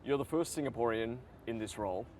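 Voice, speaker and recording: A male news reporter with an average-pitched voice puts conversational, probing questions to his guest. He is recorded outdoors, with faint urban ambience and passing vehicles behind him. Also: fairly quick, darkish tone, slight background noise. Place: outdoors at a summit venue.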